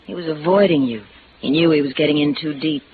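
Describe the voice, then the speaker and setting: A woman acting a wistful, bitter secretary in love, earnest and halting, in 1950s radio drama style. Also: sits low, natural voice. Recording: dull, noisy vintage radio recording.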